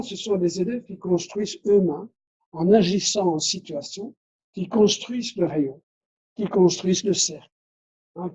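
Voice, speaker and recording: A man's voice: high-pitched, dark tone, husky, highs cut off. A man from Belgium whose first language is Dutch, giving a conference lecture, restarting phrases and adding tag questions. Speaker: conference speaker.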